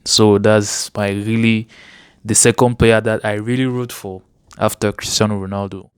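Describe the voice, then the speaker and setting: A man's voice: lowish voice, little pitch movement, moderate pace, smooth, no echo, studio quality. A man, chatting casually about sport as a guest in an interview. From Cameroon.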